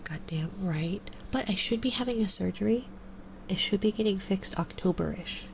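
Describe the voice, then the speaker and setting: A woman in her twenties with a stuffy nose, chatting softly on a live ASMR stream and slipping into whispers. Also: medium pitch, moderate pace, gravelly, noisy background. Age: 20s. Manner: soft and relaxed, drifting into whispers. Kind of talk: casual chat to a live stream. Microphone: binaural mic.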